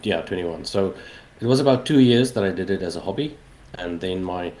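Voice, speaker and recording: A man's voice: sits low, a little fast, darkish tone. A South African man in his forties, a native Afrikaans speaker, chatting casually as a game developer being interviewed. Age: 40s.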